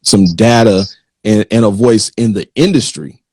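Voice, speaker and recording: Dry-sounding male host, halting and conversational. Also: fairly low pitch, darkish tone, dry recording. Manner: halting, circles back and repeats words. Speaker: interview host. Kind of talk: conversational live interview.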